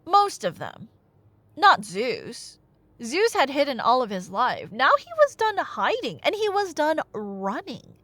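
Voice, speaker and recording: Expressive, higher-voiced woman telling a story. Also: no room sound. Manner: chatty, expressive, wry asides. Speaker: storyteller playing a chatty girlfriend. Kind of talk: casual, dramatic myth retelling, ASMR roleplay.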